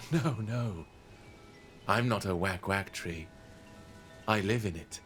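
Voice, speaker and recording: An actor playing a kindly, wise tree-dweller in a fantasy game, with gentle, unhurried storytelling. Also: male voice, sits low, soft background music. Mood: friendly, amused. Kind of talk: fantasy video game character acting.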